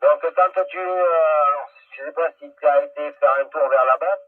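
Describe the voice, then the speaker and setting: A man from France chatting casually over CB radio as an operator, halting, with long hesitations. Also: fairly high pitch, no room sound, narrow, tinny audio. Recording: thin, band-limited CB radio transmission.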